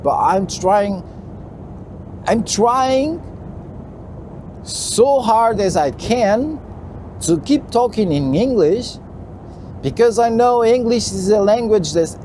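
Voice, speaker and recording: A man from Portugal with a Portuguese accent, a truck driver talking casually to his viewers, halting and repeating himself, with "you know" tucked into most lines. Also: high-pitched, dark tone, a little hiss or hum. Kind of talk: casual talk to camera while driving. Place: truck cab on the road.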